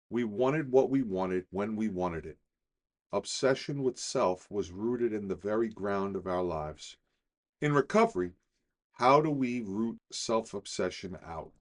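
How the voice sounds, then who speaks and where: A middle-aged man reading a daily recovery meditation in a calm, deliberate way, slowing on key phrases. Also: on the low side. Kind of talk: measured scripted reading.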